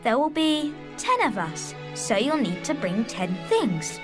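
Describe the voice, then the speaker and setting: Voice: mid-range voice, huge pitch swings, unhurried. A performer playing a kind, patient, cheerful young boy in a children's cartoon, teaching his friends in an encouraging way. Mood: friendly, encouraging. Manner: patient, encouraging, clearly enunciated. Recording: music under the voice.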